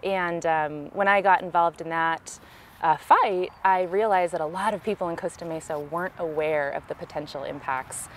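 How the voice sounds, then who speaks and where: A woman with a Californian American accent giving measured, explanatory answers in a conversational interview as a local political candidate, recorded outdoors. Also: lowish voice. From California, United States. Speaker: city council candidate, interviewee. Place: outdoors in a park.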